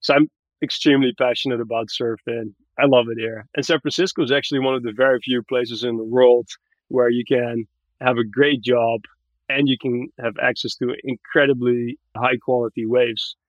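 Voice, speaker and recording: A man's voice: medium pitch, steady tone, normal speed, no echo. Male interview guest from Barbados, casual but articulate explainer, close dry sound, slightly muffled. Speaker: podcast guest, tech growth manager.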